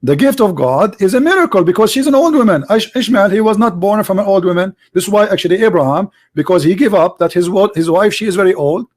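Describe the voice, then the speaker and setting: Casting: high-pitched man, argumentative debate host, insistent. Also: lively intonation, quick pace, hardly any pauses. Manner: forceful, argumentative, repeats words. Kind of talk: heated debate.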